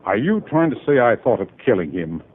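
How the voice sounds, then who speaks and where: A man's voice: lowish voice, very animated, fairly quick, slight background noise. A man playing a stiff, evasive businessman who protests defensively, in the style of 1950s radio drama. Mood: indignant, defensive. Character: indignant, evasive businessman accused of killing his partner. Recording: tinny, band-limited old radio broadcast.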